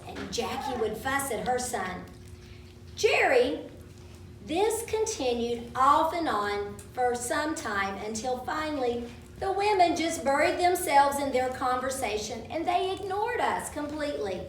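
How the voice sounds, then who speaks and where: A woman reads a story aloud to children in a dramatic style, her voice high and unhurried. She is some distance from the microphone in an echoing room at a live event. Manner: dramatic, acts out quoted speech. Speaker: storyteller, author reading own story. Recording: roomy, distant microphone, faint background noise.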